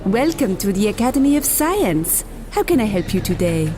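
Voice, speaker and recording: Actress as a lively, polite female receptionist. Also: mid-range voice, moderate pace, soft background music, lightly acted. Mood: courteous. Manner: courteous, welcoming. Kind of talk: audio drama acting.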